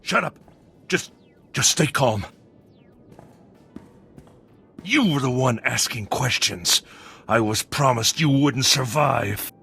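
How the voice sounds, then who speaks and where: Jittery game smuggler, gravelly and husky, swooping pitch, defensive. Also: male voice, expressive, measured pace, bright, forceful tone, faint music behind, heavily acted. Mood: alarmed, angry. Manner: agitated, defensive. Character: nervy, defensive male crime syndicate smuggler. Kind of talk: video game dialogue acting.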